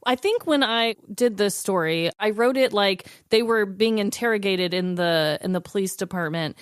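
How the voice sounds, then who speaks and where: A woman's voice: medium pitch, moderate pace, clear, resonant tone, dry recording, clean studio sound. A woman co-hosting a podcast, telling stories in a chatty, informal, conversational way. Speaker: podcast co-host. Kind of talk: casual conversational storytelling.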